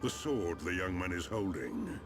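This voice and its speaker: A man voicing a massive, aged mechanical chief in a game, grave yet amused, with a deliberate, weighty delivery and loud music underneath. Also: low-pitched, sing-song, background noise. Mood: grave, probing. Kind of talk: scripted game cutscene acting.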